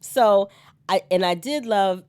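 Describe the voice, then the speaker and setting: A woman hosting an online soap opera recap on her own, slightly hoarse from a cold, animated and chatty and laughing often. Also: medium pitch, slow pace. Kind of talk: solo casual recap chat.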